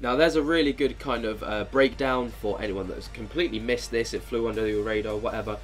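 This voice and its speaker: A man's voice: medium pitch, a little fast, faint music behind. A man with a head cold and a nasal, stuffy voice, rambling casually as a channel host with many fillers. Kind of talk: casual commentary on a video.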